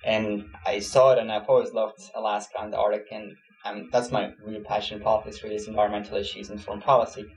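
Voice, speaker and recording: Young man, from Switzerland, student interviewee, quick speech, lowish voice, easygoing. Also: faint music behind, low-quality recording. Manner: relaxed, many fillers, laughs easily. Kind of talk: casual interview answers.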